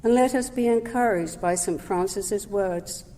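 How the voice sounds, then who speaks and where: A woman giving a prepared talk at a Sunday service, measured and gentle, sometimes correcting herself mid-word. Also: medium pitch. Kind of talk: prepared talk read aloud. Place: service hall. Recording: long hall reverb.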